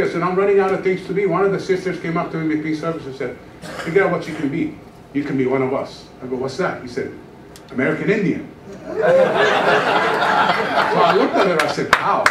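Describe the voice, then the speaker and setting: Storytelling pastor in an echoey church hall. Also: male voice, high-pitched, fast talker, distant mic, slight background noise. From Iran. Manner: storytelling, joking, animated gestures while pacing the stage. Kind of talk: humorous testimony sermon. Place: church stage, large room. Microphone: handheld microphone.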